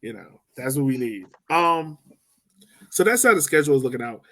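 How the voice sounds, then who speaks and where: A man's voice: medium pitch, sing-song, brisk, a bit bright. A man hosting a casual sports podcast in a joking, informal, slangy way. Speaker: podcast host.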